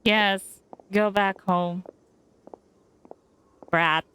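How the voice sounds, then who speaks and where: A woman's voice: medium pitch, steady tone, very slow, a bit bright, smooth. A woman giving casual, opinionated live commentary as she plays a game, in muttered asides. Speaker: streamer reacting to a game.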